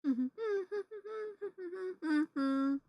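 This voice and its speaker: A woman livestreamer giving casual, excitable, exclamatory reactions to a game, with game music behind. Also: very high-pitched, extremely slow.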